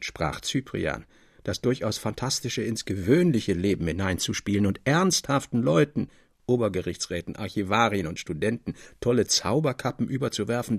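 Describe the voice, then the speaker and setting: A man's voice: medium pitch, vocal fry, husky, no echo, studio quality. A man narrating a literary tale in a scripted, formal reading style.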